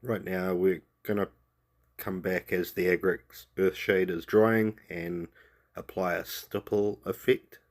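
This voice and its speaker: A low, flat-voiced man with a New Zealand accent walks through a painting tutorial in voice-over. He has a cold and talks in a relaxed, soft way, trailing off with little fillers. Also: takes it slowly.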